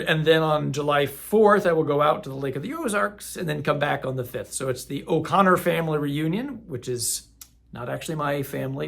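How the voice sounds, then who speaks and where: A man's voice: mid-range voice, creaky, a bit bright. A middle-aged man, a parish priest, giving casual, rambling parish announcements and correcting himself as he goes. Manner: rambling, self-correcting, friendly.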